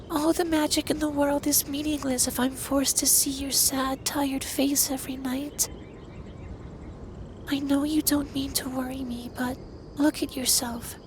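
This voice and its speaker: Man voicing a high, soft-spoken androgynous dragon lover. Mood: upset, worried. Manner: formal, earnest, deliberate phrasing. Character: androgynous, centuries-old male dragon, stern but tenderly caring lover. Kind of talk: ASMR roleplay, intimate scripted monologue addressed to listener. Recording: clear background noise with ambience.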